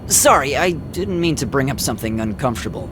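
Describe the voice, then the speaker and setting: A man's voice: medium pitch, animated, fairly quick, background noise, lightly acted. Brash, boyish young man, played by an actor. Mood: sympathetic. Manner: casual, blurting, incredulous. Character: brash but kind-hearted, easily baffled young man. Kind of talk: anime game dub acting. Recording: loud music behind the voice.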